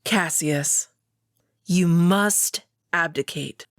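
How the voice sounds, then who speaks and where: A woman voicing a calm, stoic female wolf who is sister to the emperor, speaking in a measured way. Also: on the low side, soft background music. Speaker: guest voice actor.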